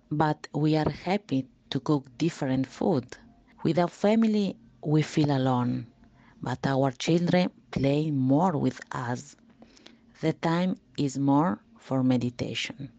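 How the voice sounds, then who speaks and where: A woman from Peru, a student reading her own poem slowly and carefully. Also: deep voice, lively intonation, mellow, no room sound, highs cut off. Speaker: language student.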